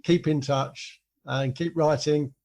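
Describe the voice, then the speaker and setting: A man's voice: fairly high pitch, steady tone, takes it slowly, darkish tone. A man hosting a podcast interview, speaking conversationally in an appreciative, encouraging way.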